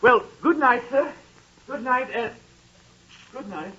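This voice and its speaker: An actor in a 1930s radio drama playing a meek, timid poor clerk who speaks nervously and deferentially. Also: male voice, extremely high pitch, slow, pushed and bright, slight background noise, heavily acted. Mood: pleading. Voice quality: nervous and deferential, says sir constantly. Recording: muffled 1930s radio broadcast.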